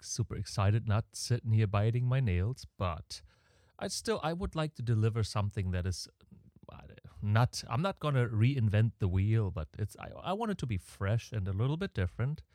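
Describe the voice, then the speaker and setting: A man's voice: fairly low pitch, dark tone, no room sound, studio quality. A man with a German accent hosting his own podcast, rambling and opinionated, with his breathing audible on the mic. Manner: rambling, opinionated, self-deprecating. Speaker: podcast host. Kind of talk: casual solo podcast monologue. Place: home setup in Canada. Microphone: close podcast microphone.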